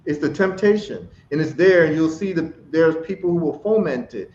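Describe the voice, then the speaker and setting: Ghana-born guy, higher voice, fired up and emphatic, kinda echoey lo-fi stream audio. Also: animated, moderate pace, a little mellow, a little hiss or hum. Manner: passionate, emphatic, repeats phrases. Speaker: guest. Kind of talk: casual chat. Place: live stream call.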